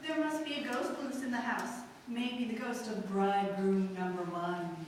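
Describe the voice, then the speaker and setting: A woman's voice: medium pitch, fairly even intonation, moderate pace, close to an everyday voice. Woman playing a cheeky kid sister, echoey hall. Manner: pert, outspoken. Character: cheeky, blunt young girl, bride's kid sister. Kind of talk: old-time radio drama acting. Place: live stage performance. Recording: echoey hall, distant mic, faint noise.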